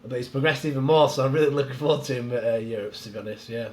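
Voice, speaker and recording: A man chatting casually as a podcast guest, a strongman coach with a head cold that makes him a little nasal, talking in a relaxed, rambling way. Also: medium pitch, rapid-fire. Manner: relaxed, rambling, laughs easily.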